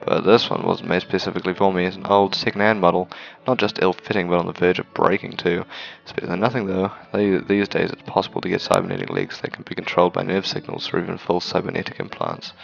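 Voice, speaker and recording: Deep, gravelly-voiced man reading aloud. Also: dull audio. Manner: stumbles over words while reading. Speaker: gaming video host. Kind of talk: reading a visual novel aloud with casual asides.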